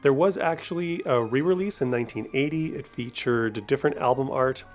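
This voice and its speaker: A man's voice: mid-range voice, a little fast, faint music behind. A man hosting a podcast, giving casual, rambling solo commentary in a conversational way. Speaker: podcast host.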